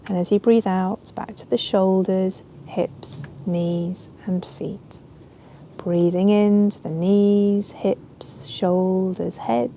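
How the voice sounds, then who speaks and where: A woman leading a guided relaxation as a yoga nidra teacher, speaking in a soothing, unhurried, gentle way with ambient sound around her. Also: mid-range voice, steady tone, a little hiss or hum, low-quality recording.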